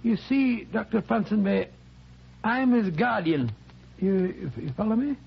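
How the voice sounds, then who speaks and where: A doctor in disguise who stammers through his words in a fake Scottish brogue. His voice sits high and gravelly, with a raspy edge. It comes over a dull old radio broadcast. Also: expressive, unhurried, full character voice. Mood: conspiratorial. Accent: put-on Scottish fading. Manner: hesitant, stammering. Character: Doctor Watson disguised as a Scotsman. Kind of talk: 1940s radio acting.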